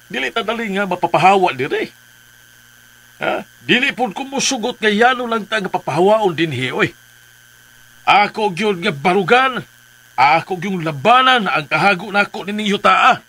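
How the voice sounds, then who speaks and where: Bright-voiced stubborn farmer father. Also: high-pitched, animated, moderate pace, full character voice. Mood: defiant. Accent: Filipino, Cebuano-speaking. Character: stubborn older tenant farmer father, proud of his trees. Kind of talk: radio drama acting.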